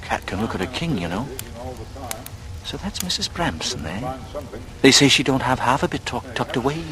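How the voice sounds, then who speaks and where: A man's voice: mid-range voice, expressive, moderate pace, crowd noise, close to an everyday voice. An actor playing a cocky young man in a radio drama, glib and breezy with mock politeness. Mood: breezy, curious. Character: cocky, smooth-talking young working-class man, slyly charming. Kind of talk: 1940s radio acting. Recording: noisy vintage radio recording.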